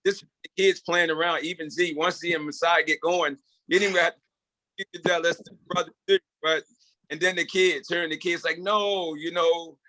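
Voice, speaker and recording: Bright, high-voiced earnest man. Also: steady tone, moderate pace, no room sound, poor audio. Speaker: project co-leader. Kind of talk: casual motivational group talk. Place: video call.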